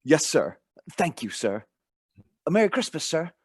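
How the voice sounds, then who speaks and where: An actor playing a timid, respectful, deferential clerk in a radio play. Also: male voice, fairly high pitch, sing-song, a little slow, breathy edge, exaggerated voice.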